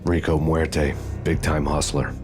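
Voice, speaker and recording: An actor playing a jaded cop on the run, narrating in a weary, sardonic, brooding deadpan in noir style. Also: male voice, extremely low pitch, a little slow. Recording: music behind the voice. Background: music.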